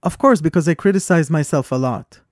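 Earnest, fairly high-voiced man with an accent. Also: a little fast, no room sound, studio quality. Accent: foreign accent, origin unstated. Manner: earnest, thoughtful, animated when telling stories. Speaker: podcast co-host. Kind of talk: reflective conversation.

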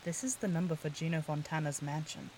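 A woman's voice: sits low, steady tone, brisk, mellow, a little hiss or hum, natural voice, low-quality recording. A woman playing a tough, assertive, wry ex-detective in an audio drama. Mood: wry, curious. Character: tough, commanding female ex-detective, sardonic and determined.

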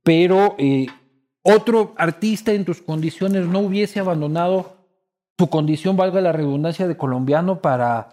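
High-voiced man interviewer, teasing. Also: normal speed, dark tone. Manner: teasing, conversational questioner. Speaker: interviewer host. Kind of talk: casual interview chat.

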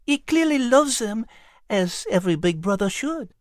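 A woman's voice: medium pitch, animated, moderate pace, a little mellow, no room sound, studio quality, pitched above the performer's own voice, natural voice. A woman voicing an elegant, affectionate petite female cat in an audiobook. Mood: warm, admiring. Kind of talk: audiobook character voice.

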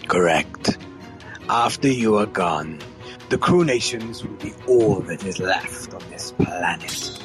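An actor playing a gloating, tyrannical alien emperor in an audio drama, with a raspy, gravelly voice and a grandiose, menacing delivery. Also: male voice, fairly low pitch, animated, slow pace, over music. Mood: gloating menace.

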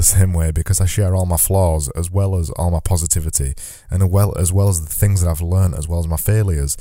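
Deep, mellow-voiced man hosting a podcast. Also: no room sound, clean studio sound. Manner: earnest, reflective, says he lifts his normally monotone voice. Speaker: podcast host. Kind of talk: solo podcast monologue.

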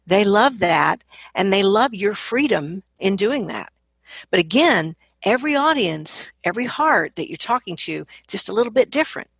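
A woman's voice: mid-range voice, lively intonation, normal speed, gravelly, fairly bright tone, slightly rough, no echo. A woman speaking as a vocal coach in a conversational interview, explaining her points with emphasis and repeating words to drive them home.

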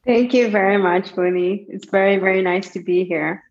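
A woman's voice: mid-range voice, fairly even intonation, moderate pace. Woman, Israeli accent, interview guest, hesitant with fillers and restarts, muffled lo-fi sound. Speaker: guest entrepreneur. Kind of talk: casual interview answers. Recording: dull lo-fi audio.

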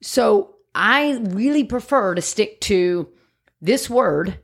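A woman's voice: medium pitch, animated, takes it slowly, creaky, slightly rough. A woman, co-hosting a podcast and explaining dog training emphatically, often asking "right?". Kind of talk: casual chat.

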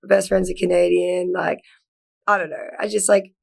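A woman's voice: fairly low pitch, little pitch movement, normal speed, vocal fry. A young woman from Australia, an actor talking as a guest in a casual interview, chatty and full of "like". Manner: chatty, lots of "like", laughs easily.

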